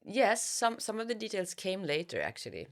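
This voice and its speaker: A woman's voice: medium pitch, wildly swooping pitch. A woman giving reflective interview answers as an author, searching for her words and repeating phrases. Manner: halting, searching for words with repetitions.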